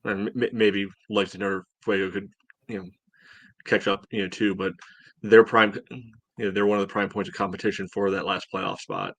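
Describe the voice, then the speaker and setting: A man co-hosting a sports podcast, giving casual soccer analysis in a measured, think-aloud way. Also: on the low side, fairly even intonation, fairly bright tone, no echo.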